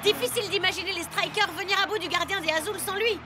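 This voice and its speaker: A woman's voice: very high voice, fast talker, background noise. A woman voicing a dramatic soccer commentator in a cartoon, emphatic and broadcast-style. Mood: announcing. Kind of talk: sports commentary. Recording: loud music behind the voice.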